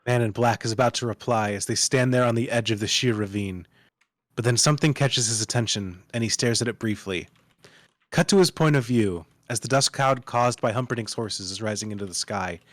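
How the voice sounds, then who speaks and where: A man's voice: mid-range voice, brisk, fairly bright tone, dry recording. A man giving dramatic scripted narration, reading stage directions like a storyteller.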